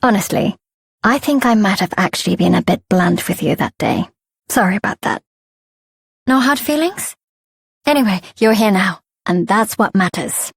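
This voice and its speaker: An actress voicing a helpful, candid fairy guide in a video game, chatty and conversational. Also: female voice, mid-range voice, animated, moderate pace, gravelly, breathy edge, dry recording, studio quality. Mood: breezy, apologetic. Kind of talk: scripted video game voice acting.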